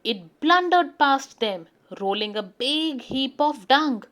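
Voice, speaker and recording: High-pitched, slow, expressive woman storyteller. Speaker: children's book reader. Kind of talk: read-aloud children's storybook narration.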